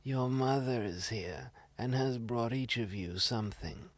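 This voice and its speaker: A man voicing a cunning, menacing wolf from a fairy tale, hoarse and drawn-out in his delivery. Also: medium pitch, no echo, lightly acted. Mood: coaxing. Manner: slow, drawn-out, threatening delivery. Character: menacing, cunning big bad wolf coaxing his prey.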